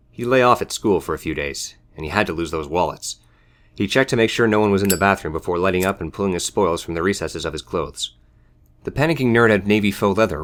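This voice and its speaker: A man narrates a novel for an audiobook. His voice sits low, and he delivers scripted prose as a storyteller. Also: fairly bright tone.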